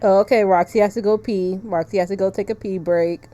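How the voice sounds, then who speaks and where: Mellow, casual woman streamer. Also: medium pitch, steady tone, brisk. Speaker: game streamer. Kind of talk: casual livestream chat while playing a visual novel.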